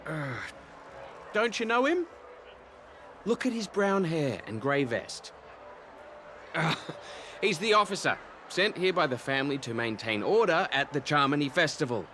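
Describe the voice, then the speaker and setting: A man's voice: fairly high pitch, big pitch swings, measured pace, long pauses, pushed and bright, slight background noise, full character voice. A man voicing a blustering patrol underling in a game. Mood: nervous, deferential. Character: blustering male patrol goon, nervously deferential underling. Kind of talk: game voice acting.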